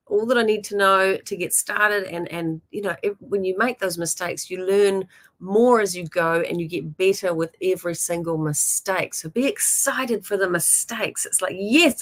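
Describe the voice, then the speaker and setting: A woman with a New Zealand accent teaches painting in a loose, chatty way. She encourages her viewers and fills her talk with ums and you-knows. Her voice sits at a middle pitch for a woman. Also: fairly bright tone. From born in Germany, raised in New Zealand.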